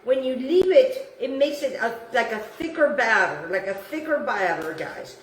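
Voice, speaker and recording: A woman's voice: high-pitched, recorded from a distance, a little hiss or hum. A woman from Italy whose first language is Italian, hosting a casual home cooking demonstration and chatting as she works, repeating herself and talking to the viewer. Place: home kitchen. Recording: echoey kitchen, voice off-mic.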